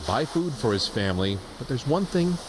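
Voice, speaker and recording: A man's voice: medium pitch, very animated, moderate pace, background noise. A man narrating a scripted television news feature as a reporter.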